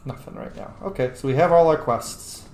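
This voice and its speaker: Gravelly man narrating gameplay in a roomy space. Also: a little high, normal speed, darkish tone. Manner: informal, profane, thinking aloud. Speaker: gaming streamer. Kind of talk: casual let's-play commentary. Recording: roomy echo, faint game music. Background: game music.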